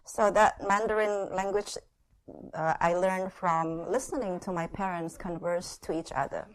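A middle-aged woman from Indonesia shares her church testimony in short, unhurried phrases, pausing often for an interpreter, with frequent "uh" and "yeah" and light laughs. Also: lowish voice, fairly even intonation, rough recording. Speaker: guest speaker sharing her testimony.

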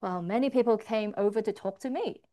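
A woman's voice: mid-range voice, a little fast, dark tone. A woman interpreting a church testimony, rendering the speaker's words line by line in the first person. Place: church service.

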